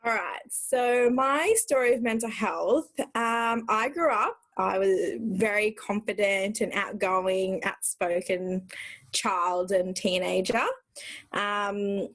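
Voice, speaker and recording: A woman's voice: medium pitch, slow pace, a bit bright, no echo. A woman in her twenties, Thai born and raised, openly telling her story as a podcast guest. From Thailand. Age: 20s. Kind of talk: casual conversation.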